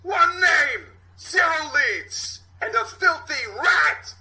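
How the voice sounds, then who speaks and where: Halting, theatrical, volatile police captain. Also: male voice, very high voice, very animated, voice pushed up, exaggerated voice. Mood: furious. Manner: halting theatrical monologue swinging between hushed and shouting.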